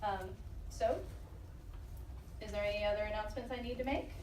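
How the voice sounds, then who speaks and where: A woman's voice: mid-range voice, steady tone, a little fast, darkish tone, slight background noise. A woman hosting a podcast live show, making casual, unscripted announcements. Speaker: podcast host. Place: convention live show stage. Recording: echoey live stage, distant mic.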